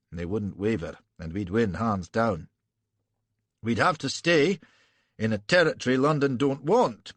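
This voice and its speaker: A man puts on an arrogant Scottish frontier officer with a high, clipped burr, lecturing in a raised voice. The tone is husky and lively, swooping up and down. Dry, close recording. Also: no echo, full character voice.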